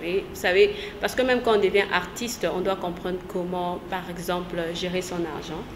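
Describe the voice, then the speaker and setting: A woman guest speaking earnestly and warmly agreeing. Her voice sits low for a woman. It rings in a reverberant hall, with clear noise behind. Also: little pitch movement, moderate pace. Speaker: studio guest. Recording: echoey room, long reverb, noise.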